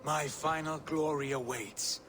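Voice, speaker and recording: Fervent high-voiced Viking noble. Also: male voice, fairly even intonation, measured pace, a bit bright, a little hiss or hum. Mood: portentous. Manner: formal, portentous. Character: lofty, fervent Viking nobleman obsessed with glory. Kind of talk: video game cutscene acting. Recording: music clearly behind voice.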